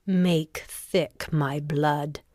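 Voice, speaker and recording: A woman playing a ruthless, ambitious noblewoman in a deliberate, intense Shakespearean audiobook performance. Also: deep voice, steady tone, a little mellow, dry recording, studio quality. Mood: dark invocation. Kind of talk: dramatic Shakespearean audiobook reading.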